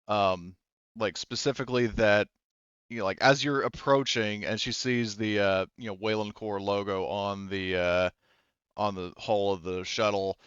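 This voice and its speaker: A man narrating a tabletop roleplaying game as game master, in a halting, rambling way as if thinking aloud. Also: fairly low pitch, steady tone, fairly bright tone, smooth, no room sound, muffled recording.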